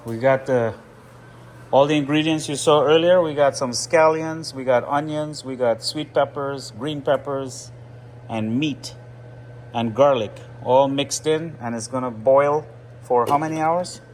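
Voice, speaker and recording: A man's voice: mid-range voice, unhurried, echoey room, slight background noise. A man with roots in Trinidad and Venezuela, chatting casually to his viewers while cooking in a home kitchen. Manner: chatty, addresses viewers directly. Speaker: vlogger.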